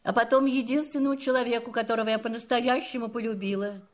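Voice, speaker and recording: A woman's voice: a little high, steady tone, fast, lightly acted. An actress playing an elderly spinster lady in a drama, candid and gracious, with a reflective, teasing manner. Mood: wistful. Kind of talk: acted radio-play style dialogue. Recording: music playing behind the voice.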